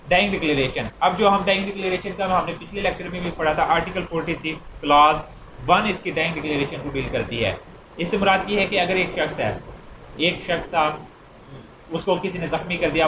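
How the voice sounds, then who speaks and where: Higher-pitched Pakistani man lecturing, echoey and noisy. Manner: repeats and rephrases points, mixing Urdu with English legal terms. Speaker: law lecturer. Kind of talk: informal explanatory lecture with examples.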